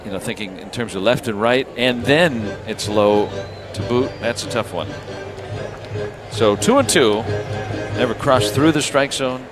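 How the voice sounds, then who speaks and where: An American man doing live radio baseball play-by-play, talking conversationally and pausing between pitch calls. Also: music in the background. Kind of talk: live radio sports commentary.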